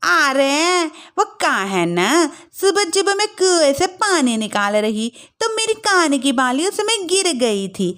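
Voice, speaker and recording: A woman voicing a middle-aged, rustic mother-in-law in a story cartoon, with a rural North Indian Hindi accent and folksy, drawn-out grumbling and exclamations. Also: high voice, big pitch swings, fairly bright tone, full character voice. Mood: defensive, folksy. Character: dramatic rustic village mother-in-law, complaining and easily amazed. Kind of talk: moral-story cartoon voice acting.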